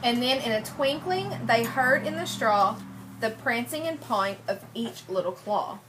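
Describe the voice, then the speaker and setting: A woman teacher leads a read-aloud for young children. Her voice sits a little high, and she moves between storybook narration and prompting questions. There is faint noise behind her. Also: lively intonation, unhurried. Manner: often repeats children's answers back, prompting them with questions. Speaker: teacher reading a picture book to young children. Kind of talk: interactive read-aloud lesson with questions and explanations.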